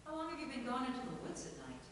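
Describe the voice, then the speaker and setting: A woman's voice: sits high, big pitch swings, racing, a bit bright. A woman acting a wary, sarcastic, protective grandmother at a table reading of a stage play. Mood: guarded, curious. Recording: echoey hall from far off, faint noise.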